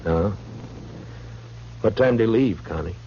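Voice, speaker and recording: A very deep, dry male voice for a stern frontier lawman in an old radio western. He is laconic and probing, heard through a muffled vintage recording with hum. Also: lively intonation, unhurried, warm tone. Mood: terse, probing. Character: stern, laconic middle-aged frontier marshal. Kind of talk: 1950s radio western acting.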